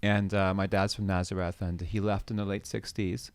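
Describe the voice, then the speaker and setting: Man, very low voice, from Canada, middle-aged, hesitant with many restarts, dry close sound. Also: level tone, moderate pace, no echo. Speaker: podcast guest. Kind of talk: conversational interview.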